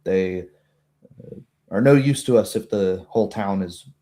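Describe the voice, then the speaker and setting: Baroness played by a man: low mellow voice, formal, measured, hesitant, tabletop fantasy roleplay. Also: lightly acted. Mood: measured, reserved. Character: noble baroness, woman, measured, dignified, reluctant adviser.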